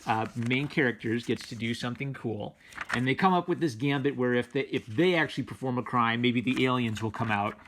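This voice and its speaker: A man's voice: mid-range voice, fairly quick, fairly bright tone, a little hiss or hum. A man giving casual, unscripted commentary as a comic book reviewer, enthusiastic and rambling, often circling back on his phrases.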